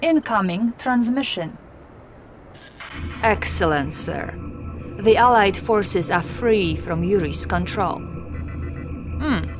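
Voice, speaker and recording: A woman's voice: medium pitch, animated, slow, pauses often, music in the background. A formal female military briefing officer. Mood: composed. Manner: crisp, formal. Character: composed, dutiful female Soviet military intelligence officer.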